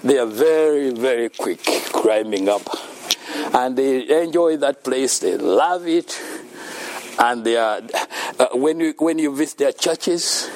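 A man's voice: medium pitch, animated, slow pace, darkish tone. A man from Uganda, giving an earnest faith-based talk about being thankful to God and often laughing between his points. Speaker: speaker at a children's home.

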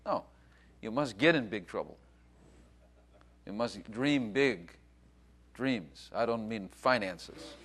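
A man's voice: lowish voice, expressive, slow, long pauses, a bit bright, breathy edge. A man, a pastor hosting the service, preaching urgently with repeated phrases and introducing his guest. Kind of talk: sermon.

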